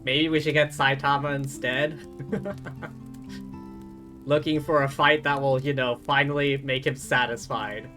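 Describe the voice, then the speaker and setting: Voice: medium pitch, fairly even intonation, slow pace, bright, forceful tone. A game streamer giving casual, informal reaction commentary in a musing way. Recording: faint game music behind.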